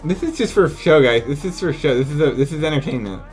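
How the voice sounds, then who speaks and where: A man's voice: a little high, lively intonation, fast, faint music behind. A man giving casual, incredulous live commentary as a video game streamer, exclaiming and swearing freely.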